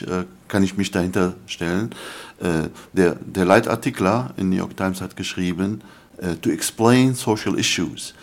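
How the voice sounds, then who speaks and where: An older man, a scholar answering interview questions in an earnest, lecturing way, often halting with fillers. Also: low voice. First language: Arabic. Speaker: guest scholar.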